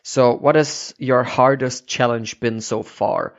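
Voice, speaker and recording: Male podcast host, English, casual and halting, mid-pitched, slightly muffled recording. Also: steady tone. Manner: halting, searching for words. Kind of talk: casual interview.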